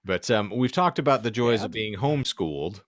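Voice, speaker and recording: A man's voice: on the low side, expressive, fairly quick, no room sound, studio quality. A middle-aged man hosting a casual podcast, speaking in elaborate, wordy phrases with a self-deprecating manner. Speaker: podcast host. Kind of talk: casual panel podcast talk.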